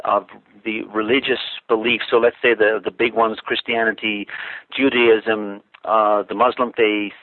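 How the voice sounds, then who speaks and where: A man's voice: on the low side, flat delivery, unhurried, no room sound. A man from Ireland, a talk-show guest calling in by phone, explaining his views thoughtfully and restarting his sentences often. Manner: thoughtful, with frequent restarts and filler words. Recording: tinny dry phone line.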